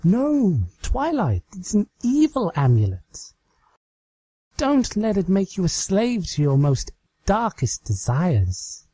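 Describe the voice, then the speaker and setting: A man puts on a female pony magician for a storybook tale, pitched well up high. The manner is theatrical and melodramatic, with breathy pleading in a close, dry sound. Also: huge pitch swings, slow, mellow, no room sound, heavily acted, rough recording. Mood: feigned alarm.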